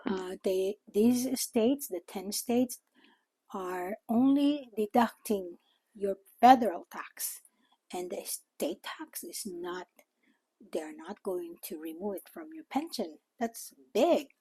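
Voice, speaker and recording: Slow-talking older Filipino woman. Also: medium pitch, expressive, mellow, slightly rough, dry recording, low-quality recording. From Philippines. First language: Tagalog. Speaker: vlogger. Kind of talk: informal talk to camera.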